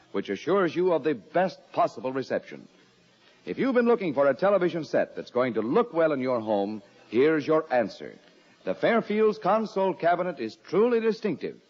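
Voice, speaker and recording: A man with an American accent reading a scripted 1950s radio advertisement in a polished salesman's delivery. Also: a little high. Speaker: commercial announcer. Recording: dull lo-fi radio, long hall reverb.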